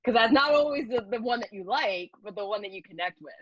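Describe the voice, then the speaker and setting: A woman's voice: a little high, lively intonation, fast talker, no room sound, lo-fi sound. A woman interviewer chatting casually, with a playful, giggly manner.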